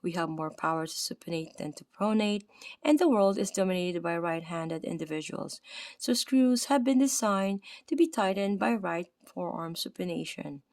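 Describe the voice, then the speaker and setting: A woman's voice: lowish voice, expressive, normal speed, a little mellow, dry recording. A tired woman with a Filipino accent reading an anatomy textbook aloud, correcting her own slips and adding "okay" and "right?". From Philippines. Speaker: anatomy study presenter.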